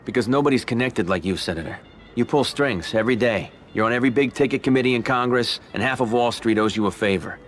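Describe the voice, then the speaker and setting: An actor playing a smooth, ambitious Italian-American mafia boss in a video game, speaking in a measured, persuasive American voice. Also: male voice, mid-range voice, a little hiss or hum, lightly acted. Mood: firm, persuasive. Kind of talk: video game cutscene acting.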